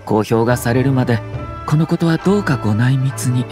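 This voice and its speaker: A courteous young lord of a noble house acted by a man in a video game. He speaks at a middling pitch in formal, measured phrases, composed and slyly wry, over soft music. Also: lightly acted. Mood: polite, wry. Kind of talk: Japanese game voice acting.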